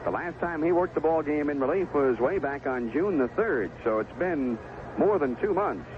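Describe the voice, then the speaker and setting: Male radio baseball play-by-play announcer, animated delivery, narrow phone-like band with clear background noise. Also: a little high, normal speed. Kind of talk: live radio baseball commentary. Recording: narrowband noisy 1970s AM radio broadcast.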